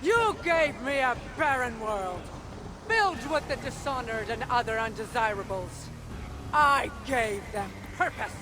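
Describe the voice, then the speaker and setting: A woman's voice: on the high side, lively intonation, measured pace, background noise. An actress playing an imperious, menacing queen of the Norse dead in a video game, speaking in commanding, regal threats. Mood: cold, imperious. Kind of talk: dramatic game cutscene acting. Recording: loud game ambience over the voice.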